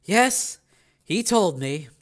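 Grave, world-weary woman, acted by a male narrator, light high register, subdued and hesitant, for audio fiction. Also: lively intonation, moderate pace, fairly bright tone. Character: grave, world-weary woman breaking bad news gently.

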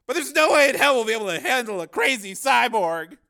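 A man's voice: very high-pitched, sing-song, a little fast, sharp tone, pitched above the performer's own voice, heavily acted. A man voicing a nervous, exasperated and sarcastic male TV cameraman in an audiobook. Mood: sarcastic, agitated. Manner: agitated, protesting, sarcastic. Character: nervous, exasperated, sardonic young-sounding male TV news cameraman. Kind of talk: acted audiobook dialogue.

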